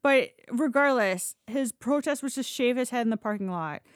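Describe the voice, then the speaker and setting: A woman's voice: on the high side, moderate pace, no room sound, studio quality. A woman telling stories in a casual, chatty podcast style, informal and blunt, with loose swearing. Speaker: podcast storyteller and host. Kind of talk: casual conversational storytelling.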